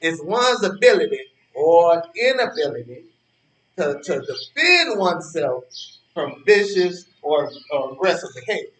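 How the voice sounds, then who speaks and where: A man's voice: a little high, big pitch swings, measured pace, a bit bright. An actor playing a cranky, worried old man in a stage play, stammering and repeating himself as he argues. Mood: exasperated, explaining. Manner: stammering, repetitive, emphatic, argumentative. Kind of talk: live stage play dialogue. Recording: roomy distant stage recording with ambient noise.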